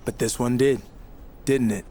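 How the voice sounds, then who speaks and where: A man's voice: medium pitch, steady tone, measured pace, mellow, slight background noise, close to an everyday voice. A man playing a cocky, defiant young rookie wrestler in a video game cutscene, smug and assertive. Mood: self-satisfied.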